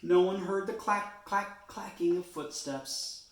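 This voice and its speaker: A man reading a children's storybook aloud in an expressive way, stretching words for emphasis. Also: high voice, roomy sound. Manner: expressive, drawn-out phrasing with emphasis.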